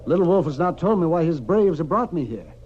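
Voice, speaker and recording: An actor playing a steady, protective frontier doctor in an old radio Western, talking in a deliberate, sometimes muttering way. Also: male voice, on the high side, lo-fi sound. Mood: guarded, firm. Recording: noisy old-time radio recording.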